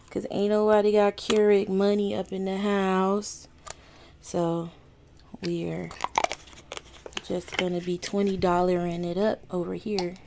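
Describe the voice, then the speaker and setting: A chatty woman with a slightly raspy morning voice hosts a laid-back podcast from home, talking slowly and taking her time. Her speech is friendly and a bit apologetic, and the faint hum of a coffee maker sits behind her. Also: mid-range voice. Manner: chatty, apologetic, easily excited. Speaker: podcast host. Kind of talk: casual chat to camera. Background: coffee maker running.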